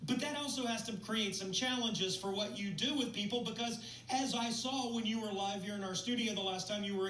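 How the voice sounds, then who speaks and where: A man's voice: very high-pitched, sharp tone. Male TV host, casual and upbeat interviewer, playful banter, echoey room, off-mic. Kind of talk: casual broadcast interview chat. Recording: roomy, distant mic, faint noise.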